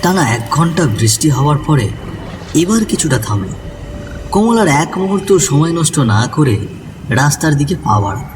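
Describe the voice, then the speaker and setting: A man's voice: on the high side, big pitch swings, moderate pace. Distant-sounding male ghost story narrator, noisy ambience.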